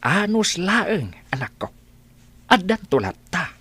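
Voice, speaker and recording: Worried old father, acted by a woman, radio drama: Ilocano accent, low swooping voice, earnest and tender. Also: very animated, slow pace, a bit bright, breathy edge, exaggerated voice. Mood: tender, solemn. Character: gentle, worried old father advising his grown child.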